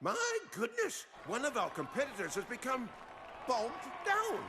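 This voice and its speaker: An actor playing an excitable male race commentator in an animated film, announcing in a grandiose, dramatic way. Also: very high voice, a little slow, a crowd in the background, noisy background, exaggerated voice. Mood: excited, dramatic. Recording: loud music behind the voice.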